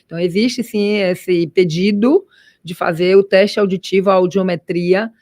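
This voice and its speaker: A woman's voice: medium pitch, fairly even intonation, moderate pace, a little mellow, no echo, studio quality. A woman, an ear doctor, explaining patiently in a radio interview with frequent restarts. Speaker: ear, nose and throat doctor. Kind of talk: expert interview guest.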